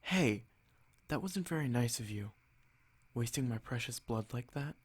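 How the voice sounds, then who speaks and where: A man playing a noble vampire lord in an ASMR roleplay, haughty and possessive, speaking in a measured, condescending, softly threatening way. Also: medium pitch, expressive, slightly rough. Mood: annoyed, menacing.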